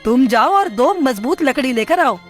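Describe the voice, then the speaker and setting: Woman voicing a high-pitched scolding crow husband. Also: lively intonation, moderate pace. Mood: firm. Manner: scolding, insistent. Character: annoyed, worried male crow husband. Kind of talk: animated moral story dialogue. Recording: music bed behind the voice.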